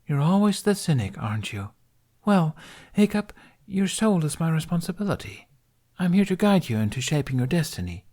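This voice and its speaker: A man voicing a serene young Viking maiden for an audiobook, a mystical warden who speaks softly, formally and with knowing amusement. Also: a little high, very animated, moderate pace, pitched above the performer's own voice. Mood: knowing, teasing.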